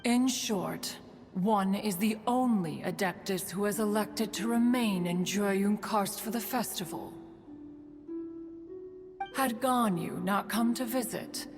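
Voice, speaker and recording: A woman's voice: medium pitch, close to an everyday voice. A woman playing a proud, fussy yet fond immortal adeptus in a video game, speaking in formal, archaic phrases and calling herself "one.". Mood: haughty, composed. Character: stately immortal female adeptus, proud, fussy, fondly meddling. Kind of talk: video game character acting. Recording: long reverb, music behind.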